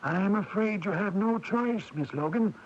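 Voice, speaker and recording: An actor playing a courteous, regretful young male lawyer, formal and measured, in a radio drama. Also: sits high, animated. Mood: regretful, firm. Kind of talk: 1980s radio drama acting. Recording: dull, hissy 1980s AM radio recording.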